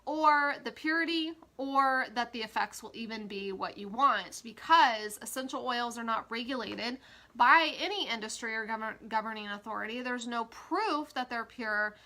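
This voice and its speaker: A woman's voice: on the high side, normal speed, bright, forceful tone. A woman with a stuffy, congested voice chatting casually as an essential oil educator, with frequent fillers. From Kansas, USA. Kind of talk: casual social media live talk.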